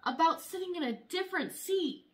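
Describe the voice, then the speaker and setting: High, very animated woman reading to kids. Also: moderate pace. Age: young adult. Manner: expressive, exaggerated storytelling for young children. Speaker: teacher reading aloud to children. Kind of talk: animated children's picture book read-aloud. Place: small room at home, indoors.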